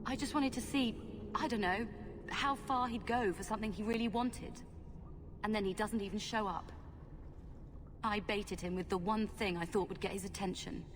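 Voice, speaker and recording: An actress playing a feisty, wounded young long-lost daughter in a fantasy video game. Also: female voice, mid-range voice, normal speed, big-room sound, background noise, lightly acted. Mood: earnest. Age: young adult. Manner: defiant, earnest, sarcastic.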